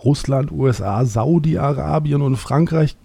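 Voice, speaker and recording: A man hosting a comics podcast, reading out announcements and then interviewing a guest in a measured, clear way. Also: mid-range voice, dark tone. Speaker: podcast host.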